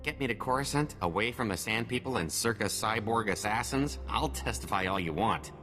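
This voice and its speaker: An actor playing an anxious, frightened corporate whistleblower in a video game. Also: male voice, fairly low pitch, expressive, fairly quick, pushed and bright, soft background music.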